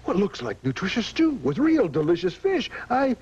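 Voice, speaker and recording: A pompous cat making his case like a lawyer in a 1980s commercial. His voice is high and husky and rises and falls widely. The sound is dull and muffled, from an old TV broadcast. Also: wildly swooping pitch, moderate pace, a little mellow, heavily acted. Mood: arguing.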